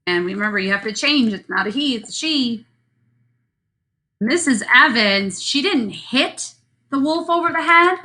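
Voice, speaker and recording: Woman, elementary teacher, bright higher voice, thinking aloud through a story lesson, playful asides. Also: lively intonation, measured pace. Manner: addresses children, playful asides.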